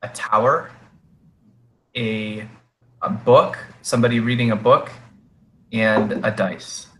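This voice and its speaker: A man running a tabletop game with a sore throat, talking haltingly with many fillers. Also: fairly low pitch, steady tone, a bit bright, poor audio. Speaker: game master. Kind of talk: casual tabletop game chat.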